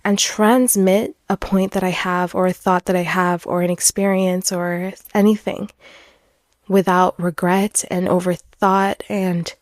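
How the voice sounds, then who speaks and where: A woman in her twenties whose first language is French talks alone as a podcast host. Her voice sits fairly low and is recorded close and dry. She is chatty and earnest, speaking candidly with a self-deprecating streak. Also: little pitch movement, a little slow, no room sound. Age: 20s. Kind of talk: candid solo podcast monologue, casual. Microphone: close podcast microphone.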